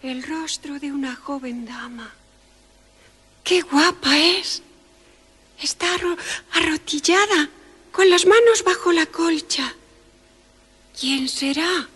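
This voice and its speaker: A woman's voice: high-pitched, takes it slowly, long pauses, a bit bright, in a large hall. A woman playing a spoiled six-year-old girl in a radio drama, with a childish, emphatic delivery. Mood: hushed wonder.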